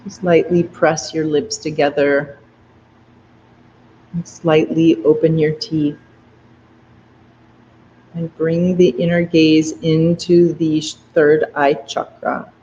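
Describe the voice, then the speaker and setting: Meditation guide, very slow, gentle and soft, muffled lo-fi sound, faint room ambience. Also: medium pitch, level tone, a little hiss or hum. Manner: soft, gentle, unhurried instructions. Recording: dull lo-fi recording with faint ambience.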